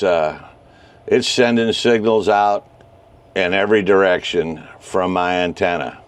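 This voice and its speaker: A man giving a casual how-to talk as a hobbyist presenter, speaking in short phrases with frequent pauses. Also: low-pitched, clean tone. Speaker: amateur radio hobbyist presenting a how-to video. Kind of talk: casual explanatory vlog talk.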